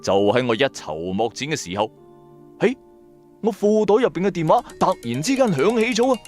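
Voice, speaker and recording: A man with a Cantonese accent narrating a supernatural tale in the first person, dramatic, colloquial and expressive. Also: on the high side, normal speed, soft background music. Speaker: storyteller narrator.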